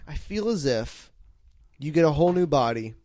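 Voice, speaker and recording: A man's voice: on the high side, lively intonation, normal speed, darkish tone. A man co-hosting a comedy podcast in casual comic banter, repeating phrases for emphasis.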